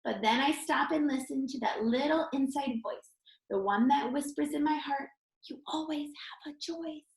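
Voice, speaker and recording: A woman reading a picture book aloud to children like a teacher, expressive and emphatic, speaking directly to her young listeners. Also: sits high, moderate pace, creaky. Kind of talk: children's read-aloud with encouraging asides. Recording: roomy, echoing indoor sound.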